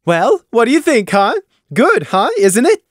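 A man voicing a bubbly, pushy female unicorn mayor who chatters brightly and bosses everyone around. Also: very high-pitched, very animated, dry recording, clean studio sound, pitched above the performer's own voice, heavily acted. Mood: bubbly bossy. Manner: bright, bossy, rapid-fire chatter.

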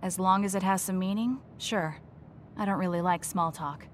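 A woman's voice: mid-range voice, normal speed, a little hiss or hum, natural voice. An actress playing a cool, blunt young female psychic soldier in a game, speaking in a reserved, matter-of-fact way, with music behind. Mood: earnest. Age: young adult. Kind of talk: video game dub acting.

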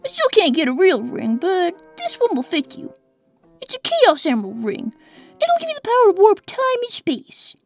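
An eager young cartoon fox boy acted by a woman, boyish and a little bashful. The voice is high and lively, with an earnest, hesitant delivery over music. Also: normal speed, heavily acted. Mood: earnest, warm. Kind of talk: cartoon comic dub.

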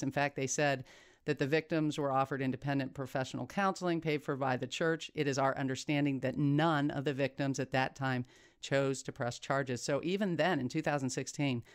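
A man's voice: medium pitch, smooth, dry recording, clean studio sound. A man hosting an investigative interview, asking probing questions and stressing key points emphatically. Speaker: podcast interviewer.

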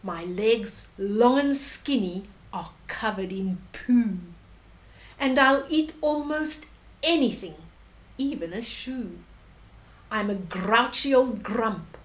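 A woman's voice: on the high side, expressive, slow. A woman voicing a grouchy, gangly, slobbish marabou stork in a storybook, emphatically. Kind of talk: storybook character reading.

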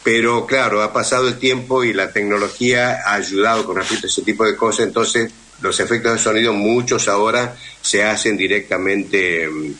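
Man, middle-aged, Argentine accent, fairly deep, bright forceful voice, interview guest. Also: steady tone, normal speed, a little hiss or hum. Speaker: guest voice actor and dubbing teacher. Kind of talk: conversational radio interview.

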